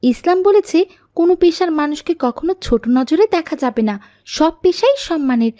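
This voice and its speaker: A woman's voice: very high-pitched, animated, brisk, warm tone, no room sound, studio quality. A woman voicing a pious, earnest little girl in a moral cartoon, speaking politely and instructively. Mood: firm, admonishing. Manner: polite, didactic. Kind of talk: scripted moral cartoon dialogue.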